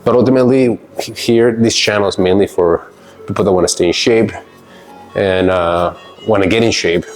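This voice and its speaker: A man with a somewhat low voice talks through his workout in a loose, rambling way. He sounds stuffy and congested, as if he has a cold. Quiet music plays faintly in the background. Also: normal speed. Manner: relaxed, rambling, with fillers and laughs. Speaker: fitness vlogger. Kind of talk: casual workout vlog talk.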